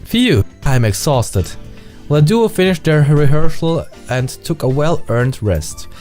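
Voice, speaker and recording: A man with a German accent, whose first language is German, reading a visual novel aloud and adding his own remarks, careful and sometimes halting. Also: fairly high pitch, sing-song, a little mellow, faint music behind.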